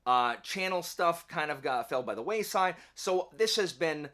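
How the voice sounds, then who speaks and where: A man's voice: fairly high pitch, expressive, unhurried, pushed and bright. A man chatting casually to camera as a channel host, rambling along in a wry, self-deprecating way. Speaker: YouTube book channel host. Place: indoor home office.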